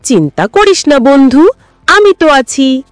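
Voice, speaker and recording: A woman's voice: sits high, sing-song, measured pace, dry recording, studio quality, faint music behind, pitched above the performer's own voice. A woman voicing a clever, nimble goat in a children's cartoon story, friendly, reassuring and self-assured.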